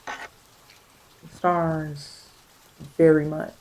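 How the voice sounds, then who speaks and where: A woman reads tarot in a soft ASMR style. Her voice is on the low side and very mellow and dark. She circles back over phrases haltingly, offering reassurance and spiritual guidance. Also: little pitch movement, a little hiss or hum, poor audio. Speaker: tarot reader.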